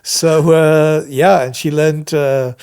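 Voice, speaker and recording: A middle-aged man with a South African accent, telling personal stories as an interview guest in an earnest, measured, reflective way. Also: fairly high pitch, steady tone, creaky, darkish tone, clean tone. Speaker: guest missionary and visiting preacher. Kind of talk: conversational personal storytelling in an interview.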